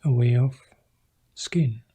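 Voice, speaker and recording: Very slow, dark-voiced man guiding meditation. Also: mid-range voice, husky. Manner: hushed, pausing between short phrases. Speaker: meditation teacher. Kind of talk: guided Buddhist meditation.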